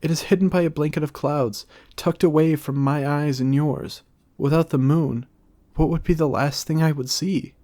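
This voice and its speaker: A man's voice: on the high side, steady tone, moderate pace, warm tone, voice pushed up, natural voice. A man voicing a frightened young maiden from a storybook, pleading in a theatrical, dramatic way. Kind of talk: storybook character reading.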